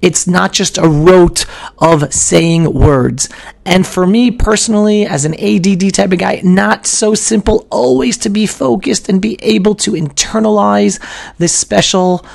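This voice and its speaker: A hoarse man in his forties teaching in a casual live stream from a small room at home, talking emphatically and often repeating himself. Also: high voice, a little slow. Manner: animated and emphatic, with frequent repeats and restarts. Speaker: video host teaching about prayer. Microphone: webcam, close framing.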